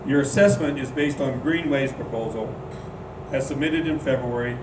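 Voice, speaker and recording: A man leading a club meeting, speaking haltingly with frequent "uh"s. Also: mid-range voice. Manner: halting, lots of "uh" fillers. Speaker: club board member chairing meeting. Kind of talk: meeting announcement. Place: meeting room at a golf club. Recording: roomy, distant mic, background hum.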